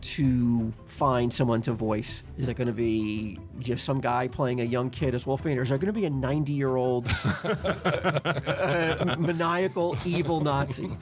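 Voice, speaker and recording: A man's voice: medium pitch, steady tone, unhurried. A man talking casually, as on a podcast. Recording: faint music behind.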